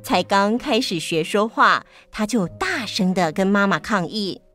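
Woman storyteller with a medium-pitched voice. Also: animated, unhurried, a bit bright, soft background music. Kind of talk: children's story narration.

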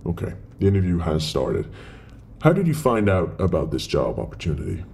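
A man's voice: sits low, huge pitch swings, normal speed, gravelly, a little mellow, a little hiss or hum, heavily acted. A man acting a cold, detached company interviewer in a horror drama, speaking in a formal, clipped way. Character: cold, businesslike male company interviewer with sinister intent.

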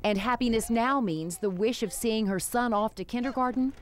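A woman's voice: on the high side, normal speed. A woman with an American accent narrating a scripted TV news report.

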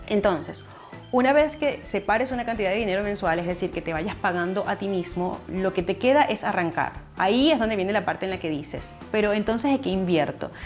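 A woman's voice: medium pitch, animated, moderate pace, soft background music. A young woman hosting a finance video, explaining steadily and speaking straight to the viewer from a couch at home on a lapel mic. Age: young adult. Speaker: finance channel host. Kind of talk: explanatory talk to camera. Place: living room, seated on a couch indoors.

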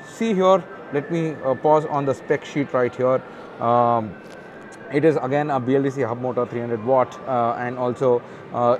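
A man's voice: fairly high pitch, background noise. Indian man narrating an expo walkaround. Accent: Indian English. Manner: casual, many fillers, reads out spec sheets. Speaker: auto show presenter. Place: large indoor expo hall. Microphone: handheld phone camera, speaker off-screen.

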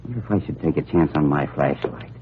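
A very deep-voiced, cocky rookie G-man mutters slangy remarks to himself in a vintage radio crime play. The recording is tinny and noisy. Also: a little fast. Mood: wary musing. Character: cocky young rookie federal agent on a stakeout. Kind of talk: 1940s radio acting.

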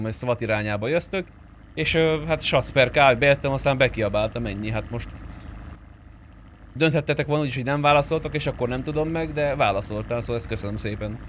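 Male gamer, mid-pitched, informal and pushy roleplay chat, faint vehicle noise behind. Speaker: streamer playing an online roleplay game. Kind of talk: in-character gameplay chat.

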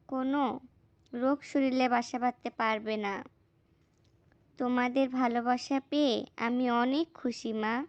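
Woman as devoted young daughter, high-pitched, determined, reassuring, cartoon dialogue, dry sound. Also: dry recording, lo-fi sound.

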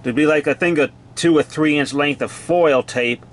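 A man's voice: fairly high pitch, normal speed. A man giving a casual hands-on equipment walkthrough in short, clipped, matter-of-fact phrases, recorded indoors on a handheld camera. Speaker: gadget demonstrator. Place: indoors, cluttered home room.

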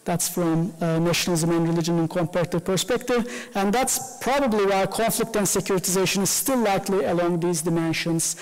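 High-voiced Turkish-native man lecturing. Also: brisk, hardly any pauses, long reverb tail, poor audio. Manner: gestures while talking, frequent fillers. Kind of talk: academic lecture. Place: lecture room. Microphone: lectern mic, far camera.

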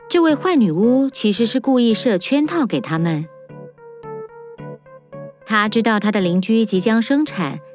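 A woman's voice: fairly high pitch, darkish tone. A woman reading scripted fairy-tale narration for children as a storyteller. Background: music.

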